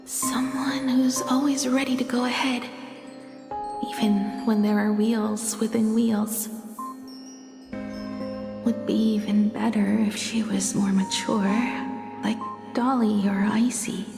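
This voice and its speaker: A woman voicing a wistful, strong-willed young female painter in a video game, often in a soft, breathy murmur. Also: fairly high pitch, little pitch movement, slow pace, pauses often, reverberant, in a large hall, over music. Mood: dreamy, hushed.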